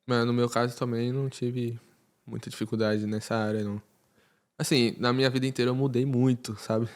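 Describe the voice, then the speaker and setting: A man from Brazil in a relaxed podcast chat, his voice sitting in a middle range. He tells stories with an easy, animated flow and laughs often. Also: medium pitch, fairly quick. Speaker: podcast guest. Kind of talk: casual chat.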